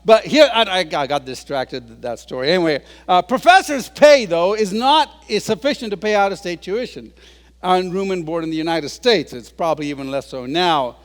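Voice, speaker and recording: A man in his sixties tells stories to a crowd with a joking, self-deprecating touch. His voice sits high for a man, and it rings out with the long reverb of a large hall. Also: lively intonation, normal speed. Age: 60s. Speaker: guest speaker. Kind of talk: humorous public talk.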